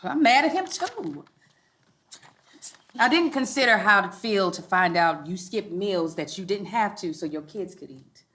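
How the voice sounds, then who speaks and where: Woman acting strong-willed American widow, very animated. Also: mid-range voice, a little slow. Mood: conciliatory. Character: strong-willed, affluent suburban mother and widow. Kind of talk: live comedy script reading.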